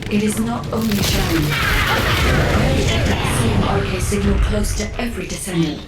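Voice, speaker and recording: A woman voicing a formal, measured analyst who speaks precisely and without contractions. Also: medium pitch, very animated, close to an everyday voice. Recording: echoey, distant, loud music.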